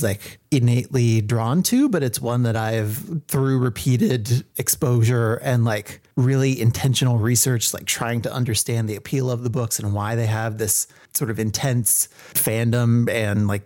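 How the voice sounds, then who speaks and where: A man's voice: mid-range voice, a little mellow. A man talking casually about books as a podcast guest, explaining his view in a thoughtful way. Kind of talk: casual book discussion.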